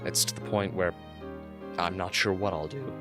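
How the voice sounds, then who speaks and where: Man acting an earnest, very deep-voiced young fiancé. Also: moderate pace, close to an everyday voice. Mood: disgusted, troubled. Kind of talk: amateur radio drama acting. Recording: music behind.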